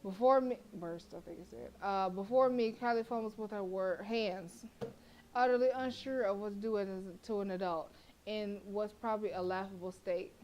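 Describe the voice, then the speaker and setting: A woman's voice: mid-range voice, moderate pace, darkish tone, lo-fi sound, a little hiss or hum. A woman streamer who reads a horror visual novel aloud in a dramatic way and breaks off for profane, joking asides.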